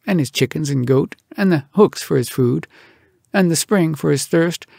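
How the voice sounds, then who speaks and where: A man reads humorous poems aloud as a storyteller, with theatrical, expressive delivery and dramatic pauses. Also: mid-range voice, creaky, breathy edge, dry recording, clean studio sound. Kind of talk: expressive comic poetry recitation.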